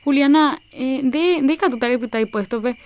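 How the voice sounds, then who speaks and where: A woman's voice: high voice, moderate pace, dry recording, lightly acted. A woman playing a village teacher announcing at a festival in a radio soap opera, with a Paraguayan accent.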